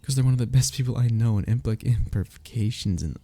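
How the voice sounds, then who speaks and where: A young man playing a wistful, lovestruck childhood best friend in an intimate audio roleplay, speaking in a halting, sighing, confessional way. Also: mid-range voice, mellow, dry recording, lightly acted. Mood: tender, lovestruck. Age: young adult.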